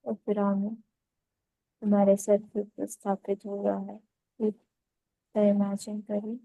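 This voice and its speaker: A woman leading a guided meditation, giving calm, unhurried instructions in short phrases with pauses. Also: mid-range voice, vocal fry, no echo, phone-quality sound.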